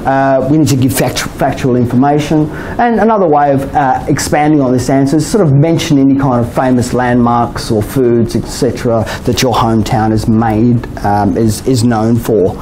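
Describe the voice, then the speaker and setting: A man with an Australian accent teaches a live class in a casual, chatty way, tagging his points with quick questions to the room. His voice sits at a middle pitch, and light background noise runs under the recording. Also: darkish tone, low-quality recording. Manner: chatty, many "okay?" and "you know?" tags, laughs often. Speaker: IELTS teacher. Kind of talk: classroom lecture.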